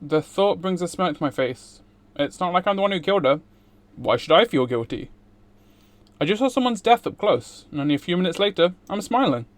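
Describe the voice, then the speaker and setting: A male streamer reads from a visual novel during a livestream. His voice sits a little higher than most men's. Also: expressive, brisk.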